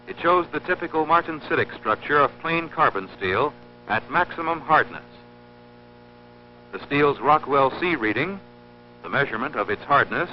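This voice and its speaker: A man's voice: fairly high pitch, slight background noise. Dull, humming old training-film narrator, man. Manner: measured, didactic, precise. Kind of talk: formal scripted instructional film narration.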